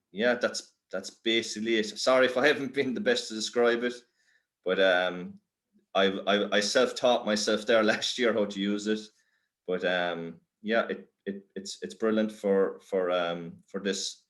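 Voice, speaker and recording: Low-voiced, halting Irish man presenting. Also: a bit bright. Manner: halting, self-deprecating. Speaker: workshop presenter. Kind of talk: informal tutorial explanation.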